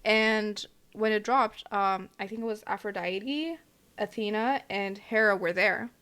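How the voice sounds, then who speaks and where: A woman's voice: mid-range voice, a little slow, fairly bright tone, clean tone. A woman co-hosting a podcast, casually retelling an old story with lots of hedges and fillers. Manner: rambling, hedging retelling with fillers. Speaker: podcast co-host. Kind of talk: casual chat.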